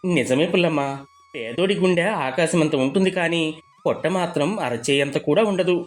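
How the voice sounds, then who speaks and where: A man's voice: on the high side, moderate pace, soft background music, natural voice. A man playing a poor, good-humoured village father in a storybook, folksy, wry and earnest. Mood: resigned. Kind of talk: acted moral storybook dialogue.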